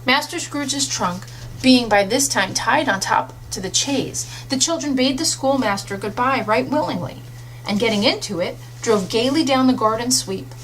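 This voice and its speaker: A woman's voice: on the high side, a bit bright. A woman reading a literary story aloud as an audiobook narrator, in a scripted storytelling style. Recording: faintly noisy audiobook recording.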